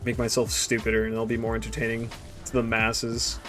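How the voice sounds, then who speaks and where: A man's voice: mid-range voice, monotone, fairly quick, bright, forceful tone, soft background music. Young man rambling crudely while gaming. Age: 20s. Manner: rambling, crude and joking, trailing off into mutters. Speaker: gaming streamer. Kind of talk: casual stream chat while gaming.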